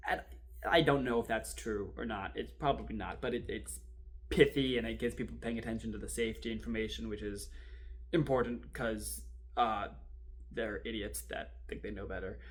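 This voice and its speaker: A man playing a wry, rattled archival assistant in a horror drama, rambling and sardonic as he records a halting confessional monologue. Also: on the low side, steady tone, natural voice. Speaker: performer in a fan audio-video drama. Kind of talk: found-footage confessional monologue, conversational storytelling.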